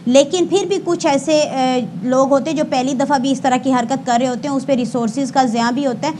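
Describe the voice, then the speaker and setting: High-pitched Pakistani woman, formal spokesperson. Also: fairly even intonation, brisk, dark tone, a little hiss or hum. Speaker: emergency service spokesperson, interview guest. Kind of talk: explanatory interview answers with statistics, Urdu mixed with English.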